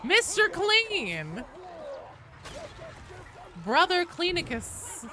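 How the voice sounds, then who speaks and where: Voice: very high voice, huge pitch swings, drawn-out words, bright, forceful tone, a little hiss or hum. A game streamer chatting casually and playfully with viewers, informal and prone to swearing. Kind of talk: casual livestream chat with viewers.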